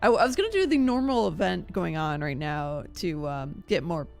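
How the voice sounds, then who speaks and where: A woman live streamer chatting casually and playfully over a game, sometimes reading dialogue aloud, with an expressive delivery. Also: on the low side, moderate pace, gravelly, faint music behind.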